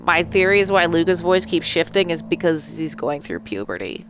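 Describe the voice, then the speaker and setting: A woman's voice: lowish voice, big pitch swings, moderate pace. A woman hosting a gaming video, commenting playfully on a story game as she reads its text aloud.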